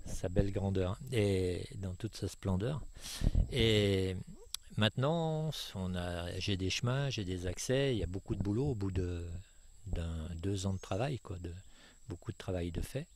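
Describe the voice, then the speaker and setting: A man's voice: low voice, a little slow, darkish tone. A retired Frenchman in his sixties being interviewed outdoors, talking with many fillers and restarts. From France. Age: 60s. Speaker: retired property owner. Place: outdoors on a jungle estate.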